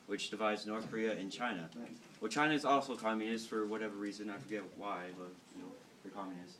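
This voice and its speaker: A man's voice: lowish voice, a bit bright. A young American man casually telling history in a slangy, irreverent, joking way to classmates. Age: teens to young adult. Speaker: student cadet telling history stories. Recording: noisy room, distant microphone.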